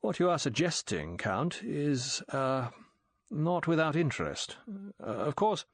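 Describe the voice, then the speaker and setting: A man's voice: medium pitch, lively intonation, moderate pace, creaky, no room sound. A man doing a bland, offhand British agent. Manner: diffident, offhand. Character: cool, bland British male secret agent.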